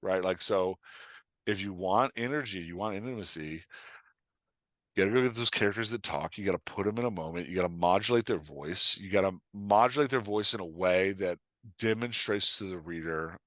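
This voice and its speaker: A man's voice: low voice, brisk, fairly bright tone, no echo. A man talking casually as a podcast guest, explaining with examples in an emphatic, storytelling way and often checking in with his listener. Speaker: podcast guest, writing coach and author.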